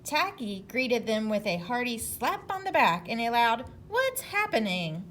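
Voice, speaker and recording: A woman's voice: on the high side, big pitch swings, moderate pace, a little hiss or hum. A woman teacher reading a storybook aloud to children and talking to them expressively.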